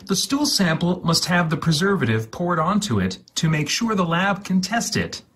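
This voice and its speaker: A man giving a deliberate, dramatic reading of step-by-step instructions with exaggerated enunciation, in a husky, breathy voice. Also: high voice, very animated, a bit bright. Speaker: host.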